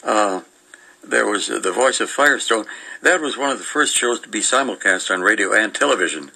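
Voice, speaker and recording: An older man chats casually as a radio co-host, going through old listings. His voice is fairly low and gravelly, with a strikingly forceful, bright edge. He meanders and halts as he reminisces. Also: expressive, low-quality recording. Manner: meandering, chuckling, halting delivery.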